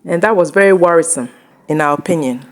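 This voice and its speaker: A woman's voice: on the low side, fast. A woman hosting her own channel, carefully translating an interview into English; Ga is not her first language.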